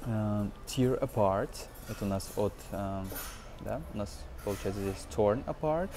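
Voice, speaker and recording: A man in his twenties giving an informal language lesson as a teacher, explaining phrases in a halting, hesitant way. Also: fairly low pitch, music in the background. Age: 20s. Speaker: English teacher.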